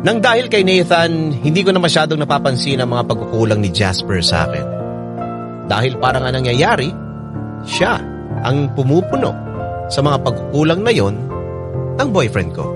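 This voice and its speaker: An actor voices a young narrator confiding a love story in a radio drama. His mid-pitched delivery moves expressively up and down. Loud music plays under his reflective narration. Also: sing-song, moderate pace, pauses often, close to an everyday voice. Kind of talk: scripted first-person drama narration.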